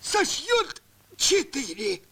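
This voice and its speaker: Cartoon greedy rich customer, fussy and wheedling, slow, creaky delivery with lively swoops. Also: male voice, very high-pitched, heavily acted. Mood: eager, wheedling. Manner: wheedling, pompous, demanding. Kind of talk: 1980s Soviet cartoon voice acting in verse.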